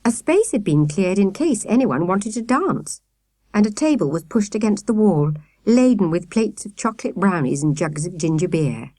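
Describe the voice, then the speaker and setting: A woman narrates a children's story. Her mid-pitched voice moves expressively, with lively intonation, and the recording is close and dry. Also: moderate pace, dry recording. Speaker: audiobook narrator.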